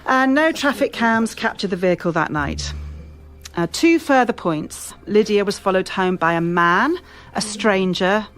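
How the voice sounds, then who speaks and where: An actress playing a brisk, authoritative police officer in a radio drama, speaking in a measured, official manner. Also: female voice, medium pitch, lightly acted. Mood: grave, official. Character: brisk, authoritative female police officer leading a case briefing. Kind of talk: formal police briefing, radio drama acting.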